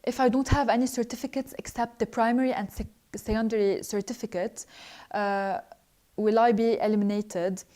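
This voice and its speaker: Young woman, alumna hosting a live Q&A. Mellow, relaxed voice, earnest, halting with fillers. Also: a little high. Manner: earnest, hesitant, frequent filler pauses. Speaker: scholarship alumna answering viewer questions. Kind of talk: live online Q&A, reading questions then answering.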